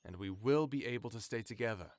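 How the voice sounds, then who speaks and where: An actor playing a steady, protective man who leads a survivor camp, speaking calmly and reassuringly. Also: fairly quick, no room sound, close to an everyday voice. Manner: calm, low and reassuring. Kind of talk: full-cast audiobook acting.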